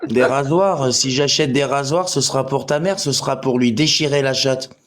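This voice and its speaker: A man talks on a livestream in a middle-pitched voice. He is confrontational and crude, swearing and trading abuse with commenters as he goes. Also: normal speed. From Portugal. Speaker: live stream participant. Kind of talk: livestream chat replying to commenters.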